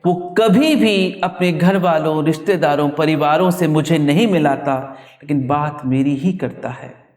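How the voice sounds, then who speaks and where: High-voiced man reciting poetry, slow and roomy. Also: a little mellow. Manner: emotive, deliberate, with repeated refrains. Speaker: poet reciting own work. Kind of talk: dramatic Hindi poetry recitation. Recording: roomy echo, distant microphone.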